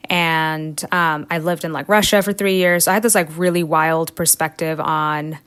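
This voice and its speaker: Guest: young woman, from South India, low smooth voice, chatty with fillers, dry sound. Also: steady tone, moderate pace, a bit bright, dry recording. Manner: talkative, many fillers, laughs often. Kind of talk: casual podcast interview.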